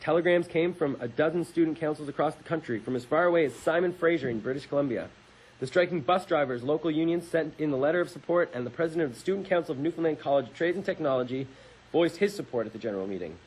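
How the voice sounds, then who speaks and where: A man's voice: a little high, fast talker, a little mellow, husky, low-quality recording. A young man co-hosting a campus radio show, casually reading a history piece aloud and correcting small slips as he goes. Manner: reads steadily with small slips and self-corrections.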